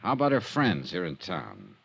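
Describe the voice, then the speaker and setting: Low-voiced, cool-headed radio investigator. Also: male voice, huge pitch swings, creaky, fairly bright tone, breathy edge, full character voice. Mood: calm, probing. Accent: American. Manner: dry, terse, measured. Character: cool, level-headed male insurance investigator. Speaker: lead actor and narrator. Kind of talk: 1950s American radio detective drama acting. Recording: muffled old-time radio recording.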